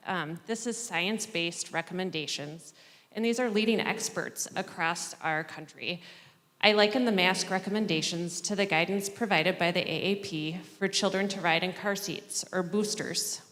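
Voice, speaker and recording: A mother reading a prepared statement at a school board public comment, earnest and measured. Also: fairly low pitch, a bit bright. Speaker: parent speaking at public comment. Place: school board meeting hall. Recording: long reverb in a large hall.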